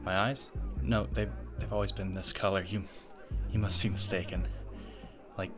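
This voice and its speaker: A man voicing a smitten, possessive spirit in a young man's body, breathy and stammering, in an intimate ASMR roleplay. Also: sits low, level tone, moderate pace, over music, natural voice. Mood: smitten, nervous. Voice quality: breathy, often dropping to whispers. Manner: awestruck, stammering, eager.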